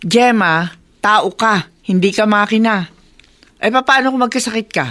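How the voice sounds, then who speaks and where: A woman's voice: medium pitch, measured pace, a bit bright, close to an everyday voice. A woman playing a fretful, caring middle-aged aunt in a radio drama. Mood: worried, chiding. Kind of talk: Tagalog radio drama acting.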